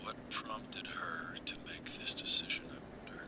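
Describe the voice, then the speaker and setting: A man playing a senior police detective in an audio drama, terse, low-key and authoritative. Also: fairly even intonation, unhurried, bright, forceful tone, in a whisper, full character voice. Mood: inquisitive. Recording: distant voice, clear vehicle noise.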